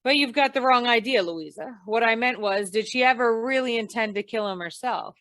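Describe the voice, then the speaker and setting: A woman playing a tough, wry female insurance investigator in an old-time radio noir, clipped, deadpan and probing. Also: on the high side, a little fast, dry recording, close to an everyday voice.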